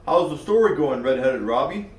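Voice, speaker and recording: A man's voice: mid-range voice, animated, normal speed, lightly acted. Man as a skinny grudging schoolboy, echoey room. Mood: casual, grudging. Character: skinny, snack-loving schoolboy with a grudge, children's story. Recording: roomy echo, far from mic.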